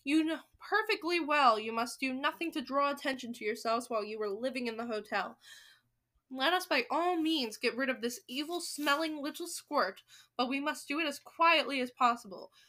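A woman's voice: sits high, moderate pace, bright, forceful tone. A woman voicing an imperious, scheming witch leader in a storybook, with a commanding, haughty manner.

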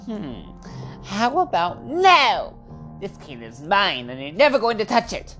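An impatient old sister who snaps and bosses her siblings around, as a woman acts her out. Her pitch leaps wildly from low grumbles to high squawks. Faint music plays underneath the radio play. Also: wildly swooping pitch, a little slow, a bit bright. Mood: defiant. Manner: snappish, bossy, name-calling. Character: grumpy eighty-something sister, rude and impatient. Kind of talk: amateur radio drama acting.

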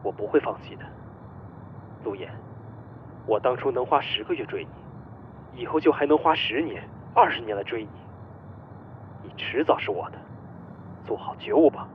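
A man's voice: mid-range voice, lively intonation, moderate pace, long pauses, dull audio, slight background noise, natural voice. A man playing a possessive boss and obsessive spurned lover in a melodramatic Chinese audio drama. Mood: grimly determined.

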